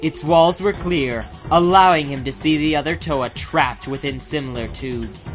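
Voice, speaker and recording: A man's voice: fairly high pitch, measured pace. A man giving a scripted, dramatic narration of a fantasy audiobook. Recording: music behind the voice.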